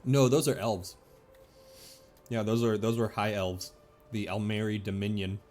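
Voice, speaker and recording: A man's voice: on the low side, steady tone, measured pace, a little mellow, soft background music. A man streaming a video game with casual, playful and sometimes sweary commentary.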